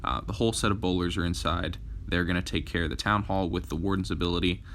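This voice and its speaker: Young male gaming host, low voice with a bright edge, slightly hoarse from a cold, laid-back play-by-play full of ums. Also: quick pace. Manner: relaxed, frequent "uh" and "um" fillers. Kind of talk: casual gameplay commentary.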